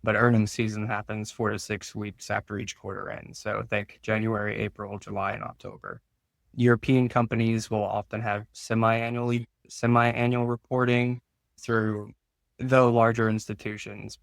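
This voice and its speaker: A man's voice: fairly low pitch, level tone, moderate pace, gravelly, dry recording. A man giving informal, explanatory answers as a finance expert in an interview.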